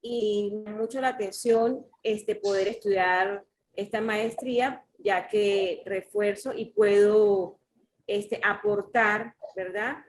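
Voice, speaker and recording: A woman's voice: medium pitch, fairly even intonation. A woman from Venezuela, a teacher and graduate student, talking in class with many fillers like "este". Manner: slow, uses fillers like "este". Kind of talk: casual class participation.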